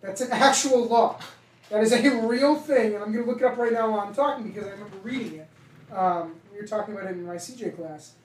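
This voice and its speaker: A man's voice: high voice, fast talker. A young man with a heavy Boston accent, co-hosting a casual podcast chat and rambling loosely with some swearing. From Massachusetts. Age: young adult. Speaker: podcast co-host. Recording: roomy, distant from the mic, faint ambient noise.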